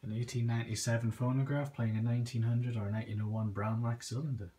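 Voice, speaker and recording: A man's voice: medium pitch, level tone, fast talker, warm tone. A man giving a casual show-and-tell in an informal, understated way, recorded on a handheld device in a small room at home. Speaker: antique phonograph collector. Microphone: handheld phone or camera, speaker off screen. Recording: noisy handheld recording with clicks.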